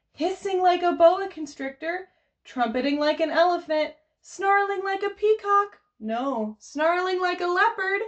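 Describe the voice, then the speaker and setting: A woman's voice: very high voice, lo-fi sound. A woman leading a storytime for babies as a children's librarian, reading in a sing-song way and speaking simply and encouragingly to little ones and their parents. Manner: encouraging, exclaiming, simple child-directed speech. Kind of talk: sing-song picture book read-aloud and coaching for babies and parents.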